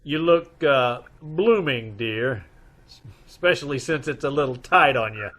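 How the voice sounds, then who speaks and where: A man's voice: medium pitch, expressive, a little slow, a bit bright. A man playing a dry, wisecracking, level-headed married detective in old-time radio style, wry and matter-of-fact. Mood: wry, affectionate.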